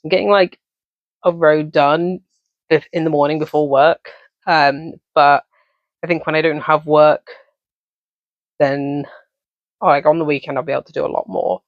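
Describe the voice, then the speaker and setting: A young adult with an English accent talks through a casual crochet vlog, wandering between thoughts, filling gaps with ums and correcting themselves mid-sentence. The voice sits in a middling pitch. The recording is muffled and close, with no room echo. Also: steady tone, normal speed, pauses often. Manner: rambling, chatty, many ums and self-corrections. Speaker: crochet vlogger. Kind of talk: casual chat to camera. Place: home office. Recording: dull, dry recording.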